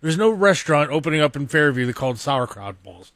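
A male radio co-host with a fairly high voice and big swings in intonation. He banters casually, pushing his point with joking insistence. The sound is dry and studio-close. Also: sing-song, fast talker, a bit bright, no echo.

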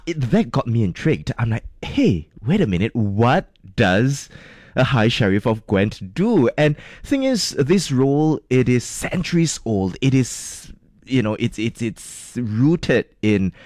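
A man from Singapore hosting an interview, chatty and lively in his questions. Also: fairly low pitch, dry recording, studio quality. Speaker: host. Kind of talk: radio interview.

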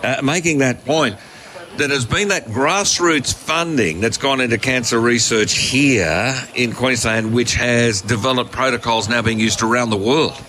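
Bright-voiced Australian radio host. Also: male voice, medium pitch, a little slow. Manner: chatty, upbeat, jokey. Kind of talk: morning talk radio interview.

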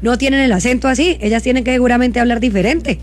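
A woman's voice: a little high, quick pace. Colombian woman hosting radio over music. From Cali, Colombia. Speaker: radio host. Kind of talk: casual live radio chat. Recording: music playing behind the voice. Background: background music.

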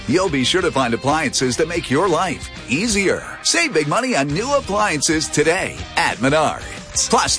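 A man's voice: fairly high pitch, pushed and bright. A man working as a commercial announcer, reading a scripted retail advertisement. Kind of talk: retail radio ad read. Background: music.

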